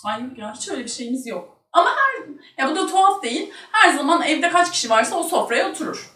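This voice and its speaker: A woman in her thirties with a high voice talks casually as a show guest. The room is echoey and she sounds set back from the microphone. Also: very animated, fast, pushed and bright, distant mic. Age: 30s. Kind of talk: casual chat. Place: small indoor studio set with curtain. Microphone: one shared table microphone, off from mouth.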